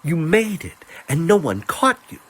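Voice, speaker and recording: A man voicing a secretive, protective young lover in a dramatic storybook reading, hushed and urgent. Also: fairly high pitch, big pitch swings, measured pace, slightly rough, pitched above the performer's own voice, exaggerated voice. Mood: excited, hushed.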